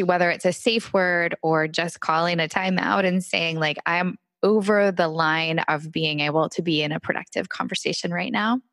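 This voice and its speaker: A woman hosting a podcast, asking thoughtful, reflective questions in a relaxed interview conversation. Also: fairly low pitch, no echo. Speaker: podcast host.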